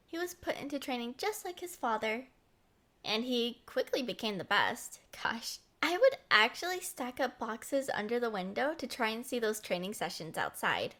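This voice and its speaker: A young woman acting a playful, headstrong, lovestruck princess in an expressive roleplay audio. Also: on the high side, full character voice. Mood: wistful, lovestruck. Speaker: voice actor.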